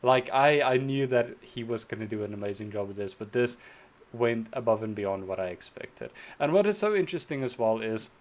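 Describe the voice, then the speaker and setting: Young male reaction host, gushing and enthusiastic, average pitch. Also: lively intonation, fairly quick. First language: Afrikaans. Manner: effusive, enthusiastic, thinks aloud with restarts. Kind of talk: casual reaction commentary.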